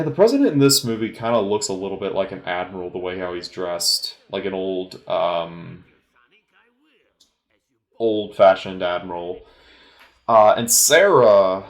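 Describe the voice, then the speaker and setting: Man, low voice, roomy echo, hesitant rambling delivery, informal film commentary. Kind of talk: informal solo movie commentary.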